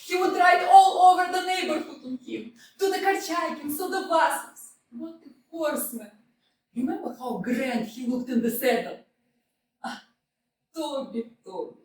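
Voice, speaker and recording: An actress playing a proud, grieving young widow in a staged reading, full of theatrical declarations. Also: female voice, high voice, expressive, normal speed, far from the mic, slight background noise. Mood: wistful. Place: small community theater stage. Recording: echoey stage picked up from afar.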